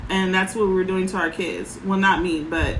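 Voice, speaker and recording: A woman parenting coach with a soft voice, giving frank advice in short, emphatic sentences. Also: fairly low pitch, flat delivery, normal speed, echoey room, slight background noise, rough recording. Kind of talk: casual advice talk.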